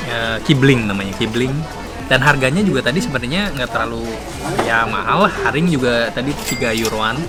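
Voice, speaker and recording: A man's voice: medium pitch, a little fast. Chatty young man tasting street food. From Indonesia. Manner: chatty, laughs often, relaxed slangy talk. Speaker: travel show host. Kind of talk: casual food-tasting chat to camera. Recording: loud music under the voice.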